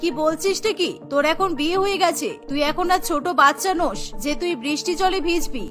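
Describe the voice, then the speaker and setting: A woman playing a firm, high-voiced cartoon mother-in-law. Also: brisk, music in the background. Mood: scolding. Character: protective elderly mother-in-law, doting and firm. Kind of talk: cartoon voice acting.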